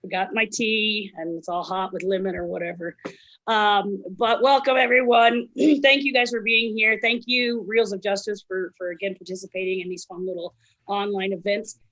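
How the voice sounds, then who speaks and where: A hoarse woman hosting an online event over a video call, chatty and full of fillers. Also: medium pitch, fairly even intonation, normal speed, a bit bright, low-quality recording. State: hoarse, lost voice. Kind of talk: casual chat.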